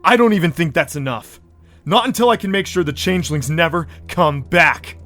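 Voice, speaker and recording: A man plays a paranoid unicorn prince from a cartoon audio drama. His voice is high for a male and full of agitation, insisting and defending himself hotly, with clear music underneath. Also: moderate pace, sharp tone. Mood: defensive, agitated. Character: paranoid unicorn pony prince, frantic and hot-headed.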